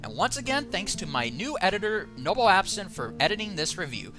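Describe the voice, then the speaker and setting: A woman reviews a film in a casual, sarcastic rant, her pitch swinging widely as she gets worked up. Soft music plays faintly underneath. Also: very animated, a little fast. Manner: exasperated, sarcastic ranting. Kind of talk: casual online review commentary.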